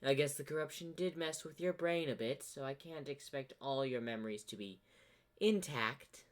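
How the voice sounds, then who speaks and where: A man's voice: mid-range voice, normal speed, natural voice. A man voicing a boastful, sadistic female unicorn pony sorceress in a fan-made story, smug and taunting. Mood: condescending.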